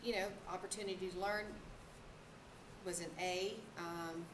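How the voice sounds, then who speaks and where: A woman's voice: lowish voice, fairly even intonation, unhurried. A woman on the district staff presenting to a school board, hesitant and stopping often for ums. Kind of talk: presenting at a public board meeting. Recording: echoey, noisy meeting room.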